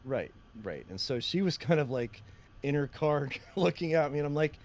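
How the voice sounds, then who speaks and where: A man co-hosting a podcast with a deep voice, telling rambling stories and laughing often in casual chat. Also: lively intonation, normal speed, a little mellow. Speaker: podcast co-host.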